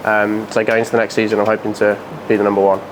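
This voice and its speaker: A twenty-one-year-old man who speaks in a low, flat, measured voice. A clear background hiss sits under the recording. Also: rough recording. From North Wales. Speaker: student rally team member. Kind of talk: casual interview answers.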